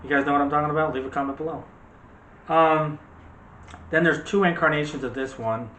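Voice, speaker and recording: A man's voice: on the high side, steady tone, a little fast, fairly bright tone, recorded from a distance. A man hosting a casual movie chat, talking directly to viewers in a chatty way. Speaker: video host. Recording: roomy, noisy, off-mic home recording.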